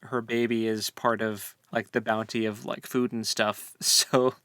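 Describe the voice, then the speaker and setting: A man's voice: fairly low pitch, flat delivery, a little slow, a bit bright, dry recording. A man co-hosting a casual book discussion, speaking informally with jokey, slangy phrasing. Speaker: podcast co-host.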